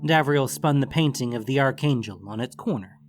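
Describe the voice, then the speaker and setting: A man's voice: mid-range voice, fairly quick, faint music behind. A man narrating scripted fiction as the storyteller of a fantasy audiobook.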